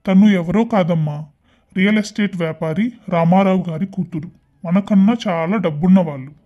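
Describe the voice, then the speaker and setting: A man's voice: sits high, normal speed, close to an everyday voice. Dull-sounding man acting a plain-spoken cartoon man. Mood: earnest. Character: young son and a doctor in a cartoon moral tale.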